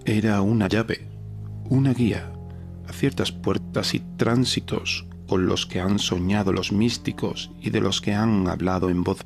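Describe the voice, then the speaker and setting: A man narrating a gothic horror story as a dramatic audiobook, with a solemn, measured delivery. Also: lowish voice, vocal fry. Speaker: podcast narrator reading a horror short story. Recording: narration over a music bed. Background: background music.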